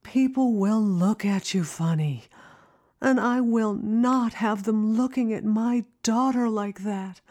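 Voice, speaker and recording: A woman playing a critical but loving middle-aged mother in an audio drama, nagging with drawn-out emphasis. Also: fairly high pitch, a little mellow. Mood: tender, worried.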